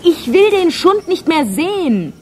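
A woman's voice: very high-pitched, very animated, unhurried, slight background noise, heavily acted. A woman in a German radio drama playing an indignant, jealous wife with a biting, sarcastic manner. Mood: angry. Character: indignant, jealous wife, sharp-tongued and demanding.

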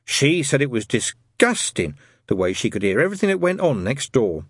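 Dim, dreamy storybook lad, played by a man: drawn-out musing in a husky voice. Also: medium pitch, big pitch swings, no room sound, studio quality. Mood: guileless. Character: slow, dreamy, guileless boy.

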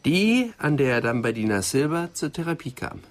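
Ein Schauspieler spielt in einem deutschen Krimihörspiel einen aufmerksamen, schnell kombinierenden Kommissar mit höflicher Art. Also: male voice, on the high side, sing-song, normal speed. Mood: alert. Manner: alert, deductive, courteous. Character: attentive, quick-witted male police detective. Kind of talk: German radio crime drama acting.

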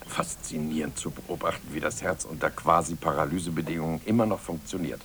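An elderly scientist in a radio play, low-voiced and creaky. He is hearty and self-assured, chuckling avuncularly and relishing his words. There is clear background noise around him. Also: fairly even intonation, fairly quick, a bit bright. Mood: pleased, brisk. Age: older. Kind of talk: radio drama acting in German.

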